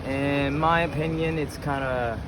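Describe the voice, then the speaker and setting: A man's voice: a little high, steady tone, a little slow. A man chatting casually as an interviewee, hesitant and often echoing a question before he answers. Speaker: interviewee, music fan at a show. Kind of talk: casual chat. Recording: noisy outdoor urban street with traffic.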